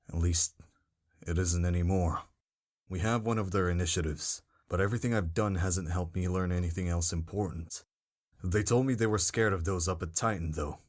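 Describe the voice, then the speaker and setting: A man's voice: low voice, lightly acted. A man voicing a blunt, skeptical scientist who interrogates a captive in a fan audio drama.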